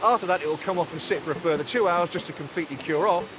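A man in his forties leading a tour of a large factory floor, explaining each production step in a calm, clear way. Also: a little high, very fast, background noise. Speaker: factory guide. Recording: music bed under voice.

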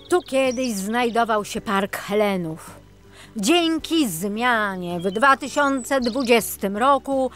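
A woman reading scripted narration for a children's story as its narrator. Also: medium pitch. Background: music.

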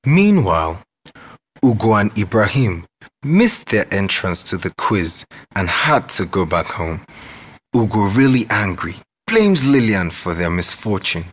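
A man's voice: fairly low pitch, very animated, unhurried, husky, heavily acted. A man giving dramatic scripted narration as the storyteller of an audio drama. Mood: measured, dramatic. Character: unhurried dramatic male storyteller.

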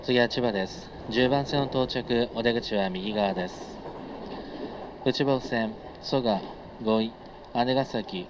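A man with a mid-pitched voice makes formal conductor announcements in a routine listing delivery. The train PA sounds dull, with faint vehicle noise around him. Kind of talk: live onboard transfer announcement. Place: inside a moving train.

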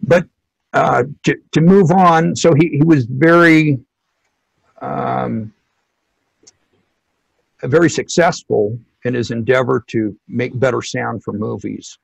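A middle-aged man speaks slowly and haltingly, as an expert guest explaining things informally. His voice is heavily creaky and husky, with frequent pauses. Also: mid-range voice, no room sound, rough recording. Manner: halting, hesitant delivery with many pauses. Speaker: loudspeaker company technical director, interview guest.

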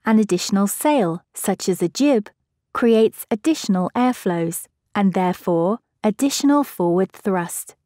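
A woman giving a measured, scripted narration for an educational physics video. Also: mid-range voice, dark tone, no room sound, studio quality.

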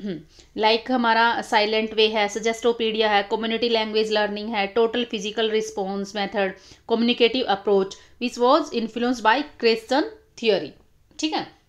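Young woman teaching exam prep, slightly high voice, goes over each answer twice. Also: steady tone, normal speed. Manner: explanatory, repeats key terms, mixes English titles into Hindi. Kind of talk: explaining exam questions over annotated screen.

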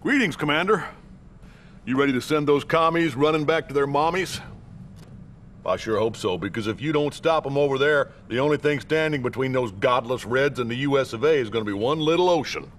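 An actor playing a blustering, folksy American president in a game cutscene, with an American accent. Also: male voice, medium pitch, fairly quick, a little hiss or hum, close to an everyday voice.

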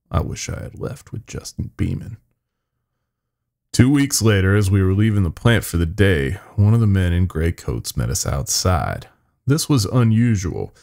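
A man tells a horror story in the first person, his voice very low and full of gravel. He sounds weary and folksy, and his delivery rises and falls dramatically. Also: darkish tone.

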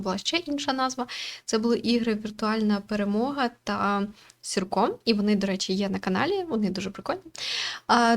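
A woman hosting a game playthrough with casual, chatty commentary, reading screen text aloud. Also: medium pitch.

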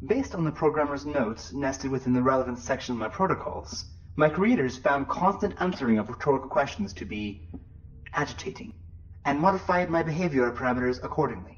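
A man playing a deadpan, literal-minded shipboard computer in a sci-fi audio drama, speaking formally and precisely. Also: mid-range voice, brisk, slight background noise, lightly acted. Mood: flat, formal. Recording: dull, band-limited sound.

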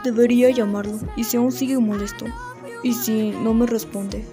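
A woman voicing a brooding, earnest, halting young Russian man in a fan-dubbed comic. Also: on the high side, steady tone, over music, natural voice. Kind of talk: fandub comic voice acting.

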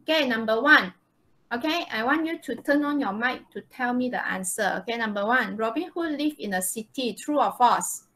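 High-voiced Malaysian woman teaching online. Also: moderate pace, fairly bright tone, slight background noise, low-quality recording. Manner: informal, checks with "okay" and "right?". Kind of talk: live online English lesson. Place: online video call, presenting slides.

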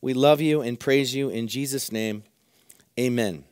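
A middle-aged man preaching a sermon from a church pulpit, his voice hoarse, earnestly repeating phrases for emphasis. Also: medium pitch, unhurried, a little mellow, no echo, clean studio sound. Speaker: pastor. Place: church sanctuary at a pulpit, indoors.

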